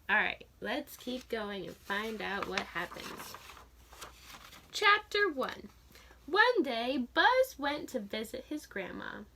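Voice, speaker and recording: A woman teacher reading a picture book aloud to children, sounding out letters and vowel sounds clearly and asking her listeners questions. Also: on the high side, pushed and bright. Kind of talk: children's read-aloud phonics lesson.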